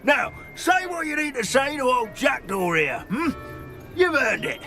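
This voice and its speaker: An actor playing a mischievous, taunting ghostly sidekick in a video game, with a brash, teasing manner. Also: male voice, extremely high pitch, very animated, unhurried, sharp tone, full character voice. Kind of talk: video game character acting. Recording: music behind the voice.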